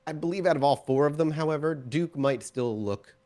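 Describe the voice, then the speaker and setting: A man's voice: fairly high pitch, a little fast, mellow. A man running a tabletop roleplaying game as storyteller, narrating scenes descriptively and addressing his players. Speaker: game master.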